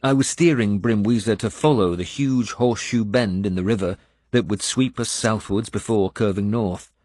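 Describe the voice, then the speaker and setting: A man reading a scripted first-person audiobook in a measured storytelling manner. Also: fairly low pitch, a little mellow, no echo, clean studio sound. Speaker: audiobook narrator.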